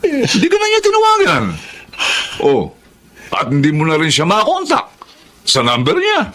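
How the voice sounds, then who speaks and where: A man's voice: high voice, huge pitch swings, normal speed, bright, forceful tone, full character voice. A man acting a scheming, teasing drinking buddy in a Filipino radio drama, with exaggerated colloquial delivery.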